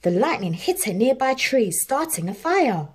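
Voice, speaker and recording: A woman narrating a children's storybook in an expressive, measured read-aloud style. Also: on the high side, husky.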